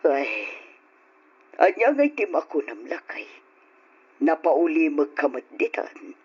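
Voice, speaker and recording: An aging mother in an old radio play, gentle and fretful, sighing as she speaks. Her voice is husky and unhurried, swinging widely in pitch. The lo-fi sound marks an old radio recording. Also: very animated, heavily acted. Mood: worried.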